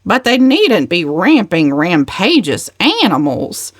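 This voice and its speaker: A woman's voice: on the low side, sing-song, takes it slowly, dry recording, clean studio sound, full character voice. A woman voicing an indignant older female cousin in an audiobook, aggrieved and emphatic. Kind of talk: dramatic audiobook character voice.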